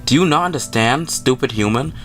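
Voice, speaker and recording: A man's voice: mid-range voice, lively intonation, brisk, fairly bright tone, natural voice. A man voicing a haughty, irritable witch girl in a visual novel, curt and scornful. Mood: scornful, annoyed. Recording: music playing behind the voice.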